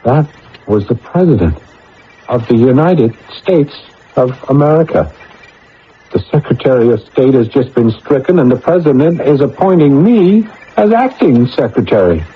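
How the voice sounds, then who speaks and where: An actor in a radio drama playing and narrating as an anxious, easily flustered government adviser. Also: male voice, medium pitch, very animated, moderate pace, slight background noise, natural voice. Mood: excited. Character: anxious, flustered male government adviser bluffing through his job. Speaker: protagonist and narrator. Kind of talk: radio drama acting and first-person narration. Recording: muffled old radio broadcast with music.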